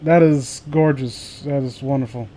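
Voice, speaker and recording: A man hosting a casual group stream, talking in a rambling, excitable way. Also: medium pitch, a little slow. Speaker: host of a live group stream. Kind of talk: casual chat.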